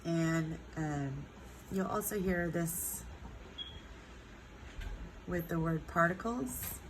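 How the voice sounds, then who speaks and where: A woman teaching a chemistry lesson with a stuffed-up nose, speaking unhurriedly with many ums and drawn-out words. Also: fairly low pitch, noisy background. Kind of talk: recorded classroom lesson.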